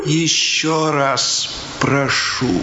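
Very slow, pleading ruined gentleman. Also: on the high side, steady tone. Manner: pleading, timid. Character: ruined, shaky landowner begging for a drink. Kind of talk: radio drama acting. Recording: echoey, dull radio play with clear noise.

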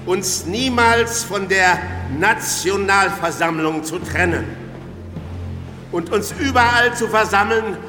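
An actor playing a solemn revolutionary assembly leader, measured and proclaiming, in a radio drama. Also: male voice, sits high, over music. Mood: solemn oath. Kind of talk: German radio drama acting. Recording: echoey reverberant hall.

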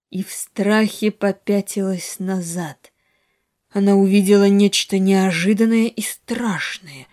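A woman storyteller giving an expressive, dramatic narration of a children's story, with deliberate pauses. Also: medium pitch.

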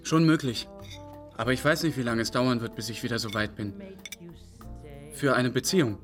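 A man's voice: medium pitch, moderate pace, natural voice. Actor as dry, wistful abandoned man, music behind. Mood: wistful, reflective. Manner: reflective, dry, confiding. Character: abandoned man, architect, bitter, wry, quietly grieving. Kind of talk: interview-like radio drama monologue.

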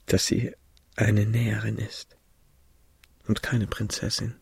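Creaky, despairing young bridegroom. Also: male voice, fairly low pitch, completely flat delivery, slow pace, dry recording, heavily acted. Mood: despairing, resigned. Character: despairing young jilted bridegroom, curt and broken. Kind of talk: German radio drama acting.

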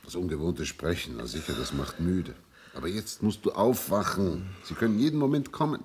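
World-weary caring man, very deep voice, paternal, radio drama, faint noise. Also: animated, fairly quick, a little mellow. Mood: gentle urging. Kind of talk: German science-fiction radio play acting.

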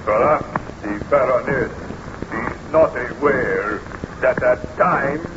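A man's voice: medium pitch, slow, close to an everyday voice. A man playing a stern, stubborn burgomaster in a 1930s radio serial, formal, officious and accusatory. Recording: dull, noisy vintage radio recording.

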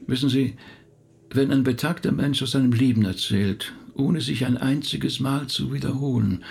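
A man playing a calm, thoughtful pastor in a radio drama, husky and speaking in a considered, patient way. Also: mid-range voice, normal speed. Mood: composed, pointed. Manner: considered, patient, slightly indignant. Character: calm, thoughtful male pastor being questioned. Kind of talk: German radio drama acting.

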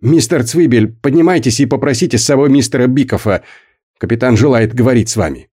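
A man's voice: medium pitch, quick pace, warm tone, slightly rough, pitched above the performer's own voice, natural voice. A man voicing a firm, decent ship officer giving orders, in an audiobook.

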